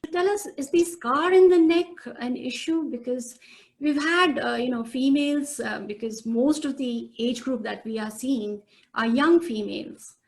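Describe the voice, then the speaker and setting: A woman hosting an interview, her voice a little higher than most and full of gravelly fry. She puts questions to her guest and answers him with short agreeing words. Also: a little slow. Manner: brief questions and agreeing replies. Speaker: host, doctor.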